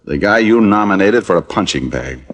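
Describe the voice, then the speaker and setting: A man's voice: sits low, animated, normal speed. An actor playing a wry, hard-boiled private detective who narrates his own case in a 1950s radio drama.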